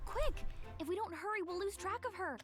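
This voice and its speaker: A woman's voice: very high voice, fairly quick, over music. A woman voicing an eager, playful young girl who studies magic, in an anime-style game. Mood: urgent.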